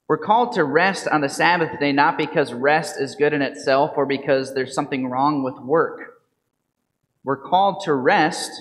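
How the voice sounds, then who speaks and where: Priest, 30s, measured homily delivery, somewhat high voice, church reverb. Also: male voice. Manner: calm, measured preaching with rhetorical questions. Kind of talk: gospel reading and homily. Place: church sanctuary at a lectern. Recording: reverberant church from a lectern mic.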